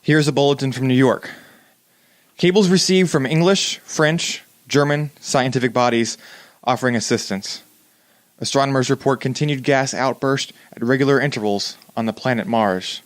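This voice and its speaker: A man's voice: mid-range voice, moderate pace, no room sound, natural voice. A man playing a radio news announcer in a 1930s-style drama, reading bulletins in a formal, grave manner.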